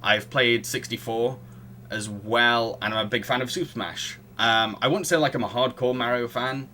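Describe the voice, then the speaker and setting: Guy hosting a chatty podcast, bright forward voice, chuckles a lot, slight background noise. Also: mid-range voice, moderate pace. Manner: chuckles often while talking. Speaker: podcast host. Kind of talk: casual podcast discussion.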